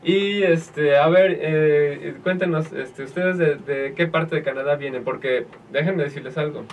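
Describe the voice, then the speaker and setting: A man's voice: on the high side, a little slow. A male radio host chatting with guests, talking hesitantly with many fillers. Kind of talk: casual radio chat. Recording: distant mic with faint noise.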